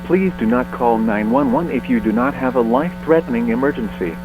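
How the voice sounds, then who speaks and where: A man reading a scripted emergency broadcast announcement in a formal, measured way. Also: mid-range voice, over music. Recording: muffled narrow-band alert audio.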